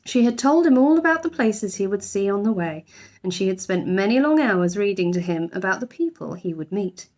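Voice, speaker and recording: A woman giving an expressive narration of a children's storybook, like a teacher reading aloud. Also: medium pitch, brisk.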